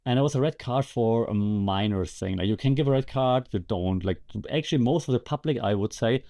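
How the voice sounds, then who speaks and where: A man's voice: medium pitch, brisk, warm tone. A man hosting a podcast with casual, conversational commentary and wry, sarcastic asides. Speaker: podcast host and media lecturer.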